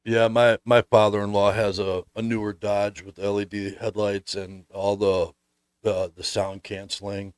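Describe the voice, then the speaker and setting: A middle-aged man hosting a casual conversation, talking in a halting way as he thinks aloud. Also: lowish voice, flat delivery, smooth, no room sound. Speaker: host.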